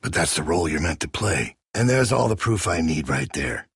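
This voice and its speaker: A ruthless American survivor boss in a video game. His voice is low and heavily rasped, with folksy, controlling menace that moves between soft persuasion and hard command. The recording is close and dry. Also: animated, no room sound, exaggerated voice. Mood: quiet, persuasive. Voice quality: gravelly, raspy. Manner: folksy, slow-burning menace, preachy. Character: menacing male survivor-camp leader, calm, controlling, ruthless.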